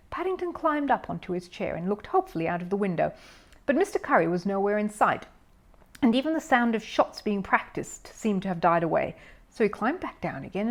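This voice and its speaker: A woman reading a children's story aloud as scripted narration. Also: medium pitch.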